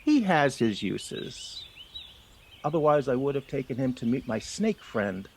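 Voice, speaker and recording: A man plays a seasoned field mouse teaching a youngster the ropes in an audio drama. His delivery is practical and firm, with a lively mid-range pitch, over faint outdoor birdsong. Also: unhurried, slight background noise. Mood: instructive, firm.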